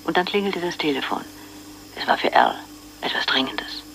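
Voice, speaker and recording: An actress playing a middle-aged wife who recalls past events in a confiding way, in a radio drama with background noise. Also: female voice, fairly low pitch, sing-song, moderate pace, gravelly, fairly bright tone, in a whisper, full character voice, poor audio. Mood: recalling. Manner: confiding and reminiscent.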